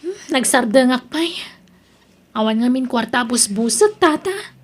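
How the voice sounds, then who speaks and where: Actress as a high-voiced, proud young Ilocano woman student. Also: lively intonation, unhurried, slightly rough, full character voice. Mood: apologetic, subdued. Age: young adult. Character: proud, determined young woman student estranged from her mother. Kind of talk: audio drama acting.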